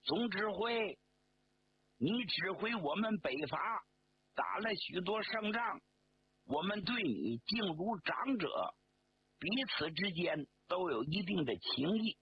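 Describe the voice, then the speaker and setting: A courteous, resolute army commander, acted by a male storyteller. He speaks slowly and formally, in a raised, animated voice. The sound is dull and lo-fi. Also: fairly high pitch. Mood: firm. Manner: formal, measured, persuasive. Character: courteous, resolute regimental commander, respectful but firm. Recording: dull lo-fi sound, faint noise.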